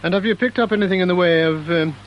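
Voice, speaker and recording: A man's voice: sits high, fast talker, lo-fi sound, a little hiss or hum, natural voice. An actor playing a genteel, curious British townsman in a radio drama, polite, hesitant and drily witty. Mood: mildly curious.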